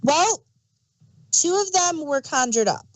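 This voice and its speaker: A woman's voice: high-pitched, expressive, slow, poor audio. A woman co-hosting a review podcast, explaining details in casual chat and correcting herself as she goes. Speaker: podcast co-host reviewing audio dramas.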